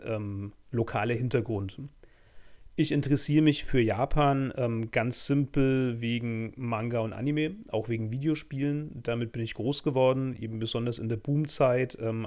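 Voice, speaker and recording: Franconian male podcast co-host, medium pitch, wordy with lots of ums, self-deprecating. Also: darkish tone. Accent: Franconian German. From Franconia, Germany. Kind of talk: casual podcast intro.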